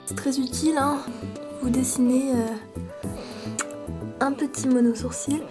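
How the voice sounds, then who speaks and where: A woman's voice: high voice, fairly even intonation, unhurried. Chatty woman with a cold, music behind. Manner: hesitant, many fillers, playful parody tone. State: stuffy with a cold. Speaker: makeup vlogger. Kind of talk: casual makeup tutorial.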